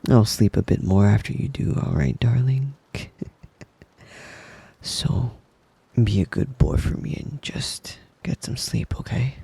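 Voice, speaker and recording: A man plays a devoted, possessive young wolf boy soothing his lover in an ASMR roleplay. His voice is very breathy and husky, often sinking to a whisper. He speaks slowly and tenderly, right up against a dry, close mic. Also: medium pitch, lively intonation, mellow, dry recording, exaggerated voice. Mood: soothing, sleepy. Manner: tender, slow, lingering, coaxing. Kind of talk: intimate ASMR boyfriend roleplay. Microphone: close-up ASMR microphone.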